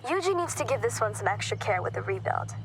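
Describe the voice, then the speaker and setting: A woman's voice: medium pitch, very animated, quick pace, gravelly. An actress playing a friendly, sincere young woman who is a car enthusiast in a video game. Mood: excited, friendly. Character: May, young enthusiastic, warm-hearted woman car hunter. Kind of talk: video game cutscene voice acting. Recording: engine and ambient noise behind. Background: vehicle sounds and ambience.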